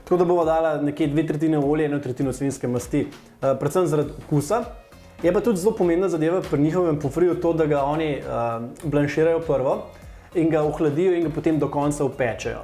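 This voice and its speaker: A male cooking show host with a slightly high voice chats and asks his guest questions, a bit halting in English. The studio kitchen is echoey and light music plays behind him. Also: warm tone. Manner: chatty and interviewing, halting in English.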